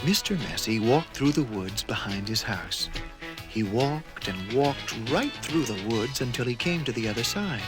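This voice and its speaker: A man narrating a scripted children's story in a measured way with dramatic pauses. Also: mid-range voice, lively intonation. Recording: 1980s read-along record with music.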